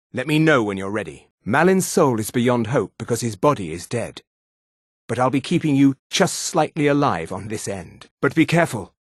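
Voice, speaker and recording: A man playing an old, weary elven wizard in a fantasy video game, with a measured, grave and somewhat haughty delivery. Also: medium pitch, breathy edge, no echo, clean studio sound. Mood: focused, cautious. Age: older.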